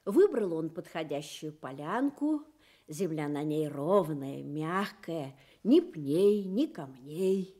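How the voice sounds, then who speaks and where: A woman narrating a children's radio story in an expressive, measured storytelling manner. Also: low-pitched.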